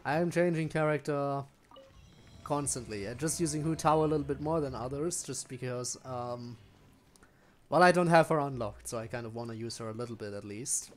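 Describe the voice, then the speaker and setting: A man's voice: mid-range voice, normal speed. A man giving casual live gameplay commentary, often muttering to himself as he plays. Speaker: game streamer.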